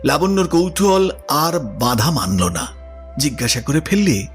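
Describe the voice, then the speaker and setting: Male narrator, mid-range and animated, expressive literary storytelling with faint background music. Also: fairly high pitch, unhurried.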